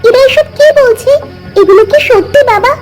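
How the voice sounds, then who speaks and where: Female actor as a cartoon village girl, about twelve, very high voice, earnest and insistent, devoted daughter, light music underneath. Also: normal speed. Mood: distressed, pleading. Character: pleading twelve-year-old village girl, devoted to her father. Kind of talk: Bengali animated moral story dubbing.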